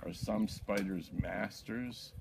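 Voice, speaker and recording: An older man reading his own poetry aloud in a deliberate, declamatory way. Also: on the low side, vocal fry. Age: older, 60s or 70s. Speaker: poet reading own work. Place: outdoors in a backyard. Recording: outdoor ambience with birds, background noise.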